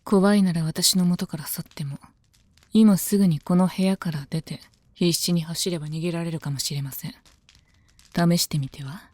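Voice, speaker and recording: A woman playing a composed, devoted female knight of common birth in a Japanese voice drama, speaking calmly, politely and softly. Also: fairly low pitch, moderate pace, no room sound, natural voice. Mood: quietly teasing, grave. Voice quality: calm, composed. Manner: polite, deferential, soft-spoken. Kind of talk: Japanese voice drama, intimate scripted dialogue.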